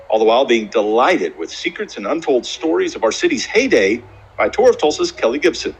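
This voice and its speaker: A man reading a scripted, inviting description of an auction item in a smooth promotional tone. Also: medium pitch, a little hiss or hum, rough recording. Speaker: announcer.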